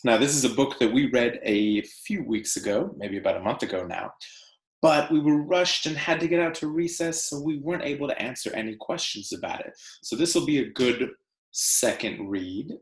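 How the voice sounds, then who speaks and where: A man teacher reading aloud to children, with deliberate storybook phrasing and casual delivery. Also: medium pitch, lively intonation, echoey room.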